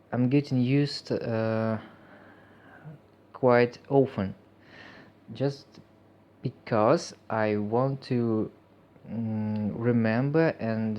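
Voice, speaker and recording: Slow, hesitant man with a Russian accent. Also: mid-range voice, lo-fi sound. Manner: hesitant, halting, many fillers; reflective and earnest. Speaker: vlogger. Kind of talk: unscripted personal monologue.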